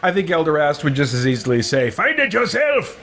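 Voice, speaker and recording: A man roleplaying through a video game with wry, theatrical commentary. His voice is a little high, bright and very lively in pitch. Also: fairly quick, slight background noise. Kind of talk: playful let's play commentary, speaking in character.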